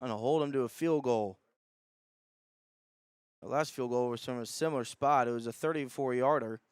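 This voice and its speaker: A man calling live high school football as a radio play-by-play announcer. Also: normal speed, smooth, no echo, clean studio sound.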